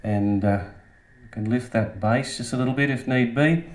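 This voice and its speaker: A man's voice: mid-range voice, normal speed, over loud music. A man giving a casual product demonstration, explaining things step by step in a conversational way. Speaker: product reviewer.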